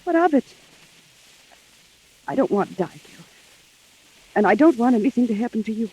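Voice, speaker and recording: A woman's voice: a little high, expressive, normal speed, warm tone, husky. An actress playing a frightened, anxious young woman in a melodramatic 1940s radio mystery. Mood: frightened, desperate. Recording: old muffled 1940s radio recording.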